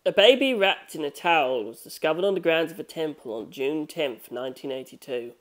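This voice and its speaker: A man's voice: a little high, animated, fairly quick, fairly bright tone. A man reading scripted fiction as an audiobook narrator.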